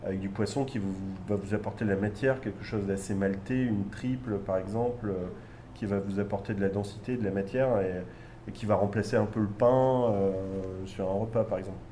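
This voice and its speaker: Low, mellow-voiced bar owner. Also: male voice, steady tone, a little hiss or hum. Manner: measured, explanatory, with frequent fillers. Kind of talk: interview answers.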